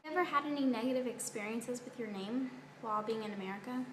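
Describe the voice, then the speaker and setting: A woman's voice: on the high side, fast talker. A woman interviewer putting prepared questions to a guest. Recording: echoey room, faint background noise.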